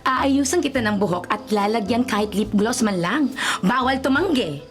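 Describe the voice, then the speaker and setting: An actress plays a young Filipino woman, the gushing and affectionate older sister who will not take no for an answer. Her high voice swoops with expression. It is radio drama acting over a clear music bed. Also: expressive, normal speed, lightly acted. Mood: bossy, affectionate. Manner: gushing, insistent, mixes in English phrases. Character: bubbly, bossy, affectionate big-sister woman, Ate Karen.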